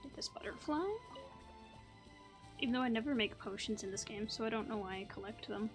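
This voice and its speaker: A woman's voice: fairly high pitch, fairly quick, faint music behind. A woman giving casual, chatty commentary as she plays through a video game. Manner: chatty, informal. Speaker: gaming video host.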